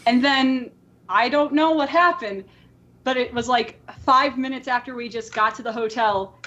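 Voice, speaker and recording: A woman with a distinctly high voice hosts a casual podcast. She talks informally and animatedly, often quoting her own thoughts as she goes. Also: normal speed, fairly bright tone, muffled recording. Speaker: podcast host. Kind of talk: casual chat.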